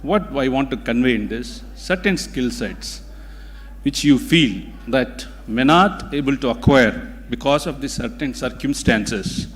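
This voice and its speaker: A man's voice: medium pitch, lively intonation, measured pace. Tamil-accented man speaking in a reverberant hall. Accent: Tamil Indian. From India (Tamil-speaking). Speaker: guest speaker at a community event. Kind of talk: motivational public speech. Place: indoor event hall. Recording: hall reverb from a large room.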